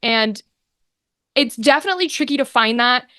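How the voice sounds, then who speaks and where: Female interview guest, slightly high voice, casual and expressive, performer's flair, very dry sound. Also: normal speed, no room sound. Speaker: guest, actor and game master.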